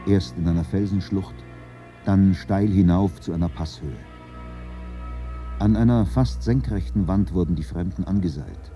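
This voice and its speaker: A man narrating a radio drama in a measured, scripted storytelling manner. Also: sits low, warm tone. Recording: music behind the narration.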